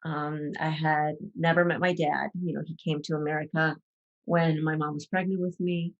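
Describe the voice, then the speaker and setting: Low-voiced woman telling her story haltingly. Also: flat delivery, lo-fi sound. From Ecuador. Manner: hesitant, many fillers and restarts. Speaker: podcast guest. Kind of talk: personal storytelling.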